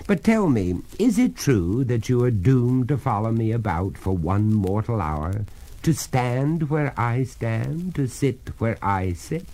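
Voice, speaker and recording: A man voicing a courteous, coolly resolute young gentleman in a storybook, speaking formally and firmly. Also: mid-range voice, big pitch swings, slow, pitched above the performer's own voice.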